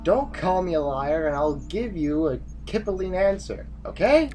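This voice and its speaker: An actor playing a weary, exasperated army general with a stern, formal manner in an audio drama. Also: male voice, medium pitch, very animated, normal speed, darkish tone, lightly acted. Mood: strained, irritated.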